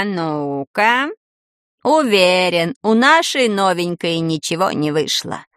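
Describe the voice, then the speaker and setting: Woman acting a pompous foreign-accented professor, slow and swooping. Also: sits high, creaky, sharp tone, no room sound, studio quality, full character voice. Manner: condescending, drawn-out, mocking. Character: pompous, scornful male sorcery professor with broken grammar.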